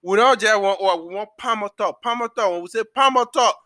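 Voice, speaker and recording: High, bright Liberian man ranting. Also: moderate pace. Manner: animated, emphatic, repeats words when heated. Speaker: podcast host. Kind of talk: ranting solo podcast monologue.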